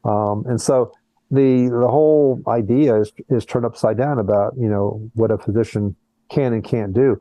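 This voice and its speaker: Casual: older surgeon guy, dark warm tone, dry sound, talks earnestly and restarts a lot. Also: medium pitch, normal speed, no room sound, poor audio. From born in Colombia, raised in Brazil and the US. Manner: earnest, repeats words when restarting. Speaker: plastic surgeon guest. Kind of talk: conversational interview.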